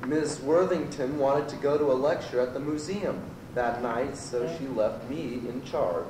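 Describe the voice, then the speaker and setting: A man's voice: mid-range voice, measured pace, lightly acted. Distant, echoey young goat clerk played by a man. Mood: earnest. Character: earnest, rambling nineteen-year-old male goat, rental clerk. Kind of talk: amateur old-time radio comedy acting. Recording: echoey, distant, noisy amateur tape.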